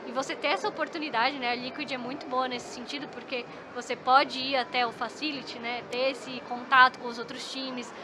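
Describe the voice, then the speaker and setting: A woman's voice: high voice, fairly even intonation, fairly quick, few pauses, background noise. A Brazilian woman, an esports psychologist, chatting as a podcast guest and often tagging her sentences with little questions. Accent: Brazilian Portuguese. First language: Portuguese. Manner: chatty, frequent "né?" tag questions. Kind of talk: casual podcast conversation.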